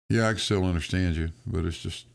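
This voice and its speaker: Brief: gravelly low-voiced man, host of a live chat stream, jokey and agreeable. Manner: joking, quick to agree. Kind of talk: casual call-in chat.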